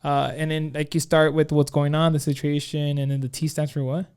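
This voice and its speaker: Chatty man with a smooth, higher voice. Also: flat delivery, fairly quick, a little mellow. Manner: rambling, many "like" fillers, joking. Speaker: podcast co-host. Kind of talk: casual chat.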